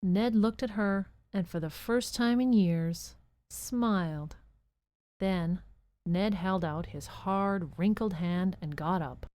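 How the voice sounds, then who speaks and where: A woman narrating an audio drama as a gentle, earnest storybook storyteller. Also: medium pitch, takes it slowly, no room sound, natural voice. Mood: gentle storytelling. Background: music.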